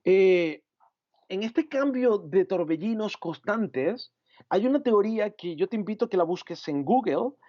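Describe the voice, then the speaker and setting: A Venezuelan man preaching a sermon in a reflective way, often pausing with "eh" and adding "¿no?" at the end of his phrases. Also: high voice, animated, lo-fi sound. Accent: Venezuelan Spanish. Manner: reflective, frequent "eh" and "¿no?" tags. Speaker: pastor.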